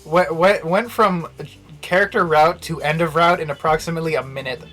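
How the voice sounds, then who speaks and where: A man's voice: a little high, a little fast, a bit bright. A man giving casual, joking live commentary as a game streamer, with a wry manner. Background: faint game music.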